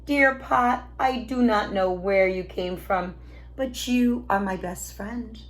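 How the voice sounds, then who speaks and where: A woman voicing an eager, clever old wife from a storybook, with expressive exclamations. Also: medium pitch, takes it slowly, lightly acted. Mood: grateful.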